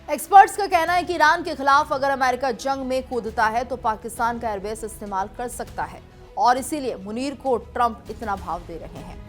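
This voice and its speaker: A woman news presenter delivering scripted television news narration. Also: fairly high pitch.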